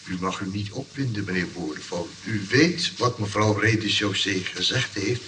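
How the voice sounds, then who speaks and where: An actor playing a sly, polite engineer in a radio play, coaxing and placating with persistent mock innocence. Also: male voice, lowish voice, moderate pace, pushed and bright. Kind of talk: Dutch radio play acting. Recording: distant mic, clearly noisy old recording.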